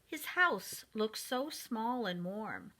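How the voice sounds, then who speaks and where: A woman reading a picture book aloud to young children in an expressive, deliberate manner, speaking directly to them. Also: a little high.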